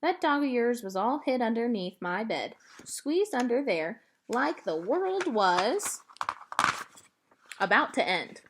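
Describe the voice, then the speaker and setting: A woman's voice: on the high side, big pitch swings, slow pace, noisy background, heavily acted. A woman voicing a kindly old woman who tells a story in folksy dialect, in a storybook reading. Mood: amused storytelling.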